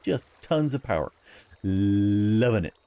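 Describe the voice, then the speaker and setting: A man with a raspy early-morning voice, giving casual gameplay commentary as host, upbeat and chuckling often while he rambles through explanations. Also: lowish voice, expressive, slow, mellow.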